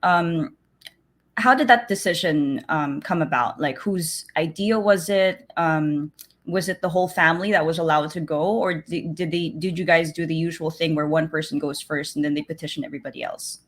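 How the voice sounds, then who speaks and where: A woman's voice: low-pitched, normal speed. A woman hosting an interview, introducing her guest and asking questions in a friendly, loose way. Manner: friendly, asks questions in loose chatty runs. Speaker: interview host and reporter. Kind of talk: hosting a news outlet interview.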